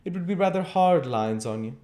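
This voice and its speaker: A man's voice: fairly high pitch, expressive, fairly quick, dark tone, voice pushed up, close to an everyday voice. A man doing a witty, languid aristocrat. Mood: amused.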